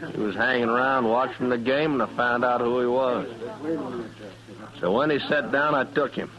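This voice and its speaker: An actor playing an arrogant, menacing gunslinger in a vintage radio Western, with a folksy, taunting American drawl. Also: male voice, mid-range voice, moderate pace, muffled recording, close to an everyday voice. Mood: smug, taunting. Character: menacing, arrogant gunslinger who enjoys killing. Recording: old radio recording with crowd murmur.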